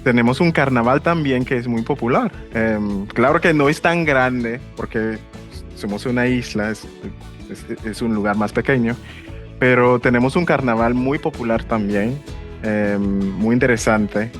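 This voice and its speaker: A man's voice: medium pitch, a little slow, soft background music. A man hosting a podcast interview, asking questions hesitantly with many fillers and repeated words. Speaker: podcast host. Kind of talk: casual interview conversation.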